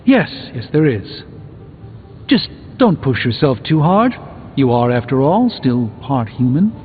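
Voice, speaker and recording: An actor playing an erudite, pompous sorcerer with a dry, articulate wit in a video game. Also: male voice, medium pitch, big pitch swings, measured pace. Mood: measured, wry. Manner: pompous, dryly witty, articulate. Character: erudite, haughty, wry male sorcerer. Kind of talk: video game character dialogue. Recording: muffled phone-like game audio under music.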